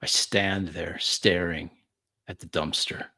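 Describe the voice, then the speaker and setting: Low-voiced man narrating dramatic roleplay. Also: steady tone, bright, forceful tone. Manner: deliberate, broken phrasing with long pauses. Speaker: tabletop roleplay player. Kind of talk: dramatic improvised first-person narration.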